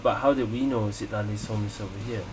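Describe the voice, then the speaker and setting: A woman's voice: rumbling low, steady tone, fast, noisy background. A woman gaming streamer giving casual playthrough commentary, musing aloud in short reactions. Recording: loud game music behind the voice.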